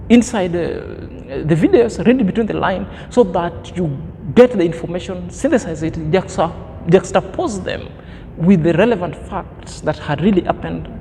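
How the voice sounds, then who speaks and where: Thirties guy lecturing his viewers, high voice, big fancy words, echoey room w/ faint rumble. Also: dark tone. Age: 30s. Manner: preachy, emphatic, fond of grand words. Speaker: commentary vlogger. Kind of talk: opinion commentary monologue.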